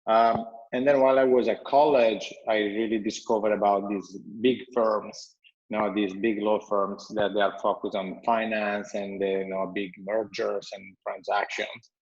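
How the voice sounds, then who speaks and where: A man with an Italian accent talks in a low, even voice with little rise and fall, pausing on "um" and restarting his sentences. The sound is dull and lo-fi, like video call audio from a bright room. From Italy. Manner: measured, with frequent restarts and "um". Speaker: guest, company founder. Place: bright room at home with a window.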